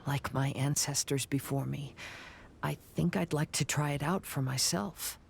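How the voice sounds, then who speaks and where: An actor playing a calm young wandering samurai in a video game, speaking softly in a measured, contemplative way. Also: male voice, on the high side, gravelly, a little hiss or hum. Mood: wistful, solemn. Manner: soft-spoken, contemplative, measured. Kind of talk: scripted video game dialogue.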